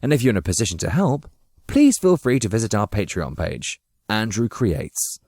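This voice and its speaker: A man narrating a dramatic audiobook from a script, with measured, literary storytelling. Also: lowish voice, big pitch swings, a little mellow, no echo, studio quality.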